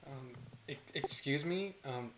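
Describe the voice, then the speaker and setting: A man's voice: medium pitch, measured pace, reverberant, noisy background, lightly acted. A man reading a hesitant curious young guy. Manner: hesitant, casual. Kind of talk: amateur table read. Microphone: online voice call.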